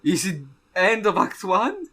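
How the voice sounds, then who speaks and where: A man's voice: high-pitched, sing-song, measured pace, sharp tone, soft background music. A man hosting a let's-play of a game, talking casually and swearing now and then. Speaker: gaming YouTuber, let's-play host. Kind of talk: casual let's-play commentary with on-screen text read aloud.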